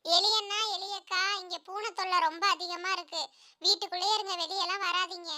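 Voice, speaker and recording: A woman's voice: extremely high pitch, steady tone, brisk, bright, forceful tone, exaggerated voice. A woman voicing small animals in a cartoon moral story, childlike and earnest. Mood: caring, warning. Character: small cartoon animals: orphaned mouse child, caring mother bird.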